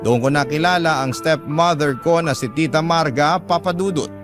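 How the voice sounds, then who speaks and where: Male Filipino narrator, fairly high voice, scripted first-person drama storytelling over clear music. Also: normal speed. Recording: music behind the voice.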